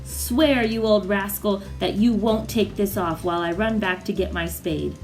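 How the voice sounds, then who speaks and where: A woman's voice: mid-range voice, unhurried, faint music behind, close to an everyday voice. An eager, cocky young farm lad from a storybook, acted out by a woman reading aloud. He is clever and boastful, speaking in bold exclamations. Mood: demanding.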